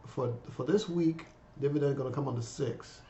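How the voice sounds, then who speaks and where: Raspy man in his fifties with a cold. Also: medium pitch, normal speed, dark tone, slight background noise. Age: 50s. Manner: rambling, many fillers, addresses viewers as guys. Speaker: finance channel host. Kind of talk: casual vlog talk. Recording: echoey room, dull lo-fi sound.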